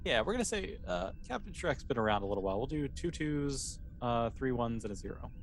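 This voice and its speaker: A man's voice: mid-range voice, sing-song, brisk. Casual game master, man with background noise. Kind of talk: casual improvised tabletop game narration.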